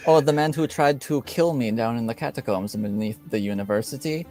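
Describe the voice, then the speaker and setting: A man plays an aggrieved aristocratic father in a tabletop fantasy game. He speaks in measured, formal phrases with proud indignation, at a medium pitch, with music behind him. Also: mellow, lightly acted. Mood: indignant. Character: proud aggrieved nobleman father, admitted member of assassin guild. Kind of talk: improvised tabletop roleplay.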